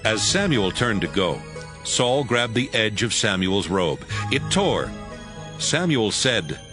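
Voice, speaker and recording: A man's voice: fairly low pitch, sing-song, slow, music in the background. A man narrating scripture in a scripted, dramatic storytelling style.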